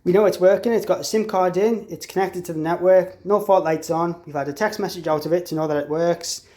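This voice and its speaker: Casual man with a slightly high voice. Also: steady tone, fairly quick, a little mellow. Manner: informal, explanatory. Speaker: installer demonstrating an intercom product. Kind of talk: casual hands-on product tutorial.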